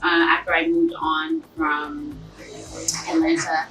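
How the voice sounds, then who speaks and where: A woman's voice: deep voice, big pitch swings, slow, over music. A woman from Texas, talking frankly as an interview guest, with many "um"s and "you know"s. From Texas, United States. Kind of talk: conversational interview. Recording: echoey room, voice far from the mic, clear background noise.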